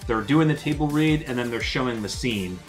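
A man's voice: medium pitch, brisk. A man co-hosting a fan news show in casual, unscripted chat. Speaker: co-host of a fan news channel. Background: background music.

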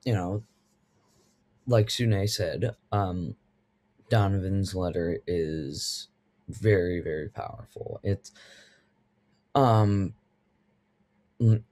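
A teenage boy sharing an emotional reflection on a video call, hesitant and halting. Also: fairly low pitch, a little mellow. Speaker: student guest. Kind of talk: personal reflection on a podcast. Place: bedroom at home.

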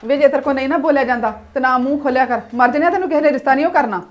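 A woman's voice: high-pitched, rapid-fire, highs cut off, natural voice. A woman playing a scolding village mother. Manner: scolding, nagging. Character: sharp-tongued village mother, scolding her sons. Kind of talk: village comedy sketch acting.

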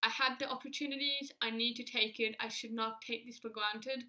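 Earnest young woman, bright voice. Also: on the high side. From Australia. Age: 20s. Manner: earnest, measured, steady delivery. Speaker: alumni speaker. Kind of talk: prepared personal speech to camera. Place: small indoor room against a plain wall.

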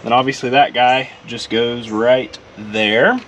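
A man's voice: medium pitch, slow, noisy background. A man casually walking through his car build as a vlogger, explaining each step as he works and joking at his own mistakes. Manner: relaxed, self-deprecating, explains while working. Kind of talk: casual how-to walkthrough. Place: garage and inside a truck.

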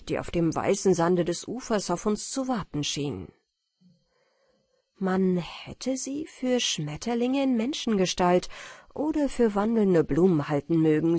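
Woman, audiobook narrator, bedtime fairy tales, gentle, breathy-husky, close dry sound. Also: mid-range voice, dry recording.

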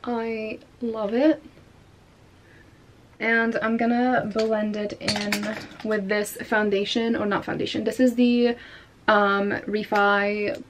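A woman's voice: a little high, a little mellow. A woman in her twenties chatting casually through a makeup routine in her bedroom, filling her talk with "like" as she goes. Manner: chatty, lots of "like" fillers. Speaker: vlogger. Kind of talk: casual makeup tutorial chat. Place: bedroom at home.

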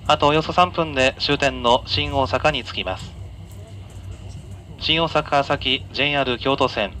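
Man, train conductor, bright clear-cut tone, medium pitch, polite formal announcement, on-board PA, faint running-train noise. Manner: polite, measured, formal delivery. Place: inside a moving train, over the announcement system.

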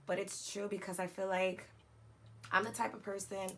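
A young woman beauty vlogger with a hoarse, raspy voice after losing it, chatting casually and slangily about makeup at home. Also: mid-range voice, level tone, normal speed, a little hiss or hum. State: hoarse, lost voice. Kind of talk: casual chatty product talk. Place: home beauty room, indoors.

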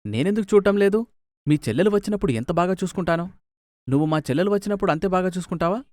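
Man acting irritable husband, pitched up. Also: lively intonation, racing, a little mellow, no room sound, studio quality. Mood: indignant. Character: irritable husband, defensive and argumentative. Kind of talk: animated moral story dubbing.

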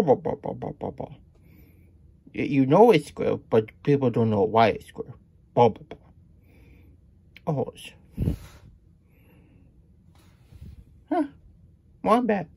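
A man voicing a childlike, good-natured hedgehog plush puppet with a husky voice, reading a picture book with halting, folksy asides. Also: fairly high pitch, mellow. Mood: puzzled, grumbling, then playful. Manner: speaks of self in third person, halting, folksy. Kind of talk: puppet storytime read-aloud with chatty asides.

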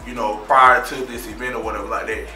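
A man's voice: medium pitch, level tone, fast. A man hyping himself up to camera before a performance, swaggering and profane. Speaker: rapper, reality show cast member. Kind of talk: on-location vlog talk to camera. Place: indoor venue before a show. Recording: echoey venue, loud music behind.

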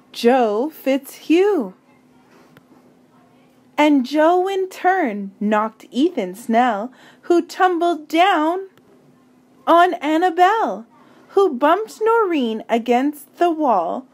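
A woman reading a children's picture book aloud, with deliberate, dramatic phrasing and emphatic pauses. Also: sits high. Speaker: storybook reader.

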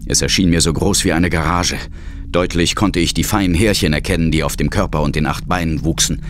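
A man's voice: low voice, fairly quick, slight background noise, lightly acted. An actor as a tense male ghost hunter, narrating his ordeal in the first person with suspense. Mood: hushed suspense. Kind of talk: suspenseful scripted horror narration. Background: loud dramatic music and effects.

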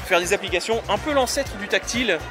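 Man, thirties, high-voiced, rambling explainer at a noisy expo stand with music playing behind. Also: lively intonation, quick pace. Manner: explanatory, many fillers and restarts. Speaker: retro computer exhibitor. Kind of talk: casual explanation at a convention stand. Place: busy convention hall stand, indoors. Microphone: handheld recorder held by the interviewer.

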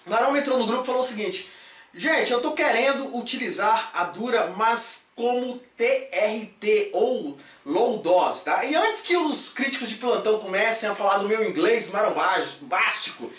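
A man's voice: high voice, expressive, normal speed, fairly bright tone, recorded from a distance. A man in his thirties hosts a casual fitness vlog in a small room, talking animatedly and tossing in jokey catchphrases. His voice sits back from the mic. The room rings with echo. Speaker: fitness supplement YouTuber. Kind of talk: energetic casual vlog chat. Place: small room with shelves of supplements.

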